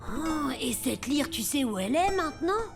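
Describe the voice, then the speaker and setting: A woman's voice: sits high, big pitch swings, moderate pace, gravelly, over music, heavily acted. A woman voicing an eager, loyal young sidekick pilot in a French children's cartoon, with an exclamatory delivery. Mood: curious.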